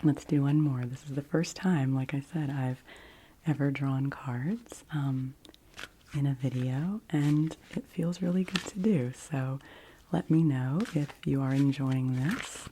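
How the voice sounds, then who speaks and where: Woman, forties, soft ASMR whisper, dark mellow tone, unhurried pace, close mic, faint background noise. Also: a bass voice. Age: 40s. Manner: soft, gentle, unhurried delivery. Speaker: ASMR host doing an oracle card reading.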